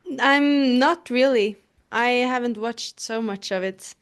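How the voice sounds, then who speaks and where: A young actress from Sweden answering interview questions hesitantly, with many fillers. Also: female voice, fairly high pitch, unhurried. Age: young adult. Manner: hesitant, lots of fillers, laughs easily. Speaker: actress guest.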